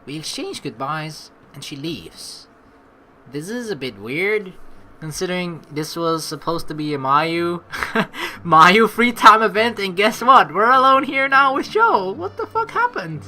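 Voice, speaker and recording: A woman's voice: fairly low pitch, big pitch swings, unhurried, pushed and bright, slight background noise. A woman doing casual let's play commentary, rambling as she thinks aloud and reading game text, with some casual swearing. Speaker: let's player. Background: game music.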